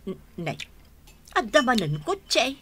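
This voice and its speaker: A woman playing a nosy, sharp-tongued middle-aged village mother in a radio drama, prying and exclamatory. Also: on the high side, sing-song, a little slow, slight background noise, heavily acted. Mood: chatty, prying. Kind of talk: radio drama acting in rural family comedy.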